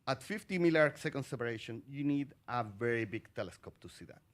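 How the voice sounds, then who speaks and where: A middle-aged man from Chile speaks as an astronomer on a panel. His talk is halting, full of fillers and restarts, in a mid-range voice. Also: medium pitch, expressive.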